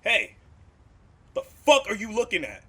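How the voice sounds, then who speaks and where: A man's voice: high-pitched, wildly swooping pitch, a little slow, a bit bright, husky, exaggerated voice. A man playing a cocky, threatening street thug in a comic dub, aggressive in manner. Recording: muddy, with music behind.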